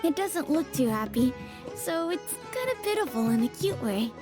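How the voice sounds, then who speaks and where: A woman's voice: high-pitched, very animated, moderate pace, over music. A woman voicing a mild, candid young child in a video game. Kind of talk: video game cutscene dialogue.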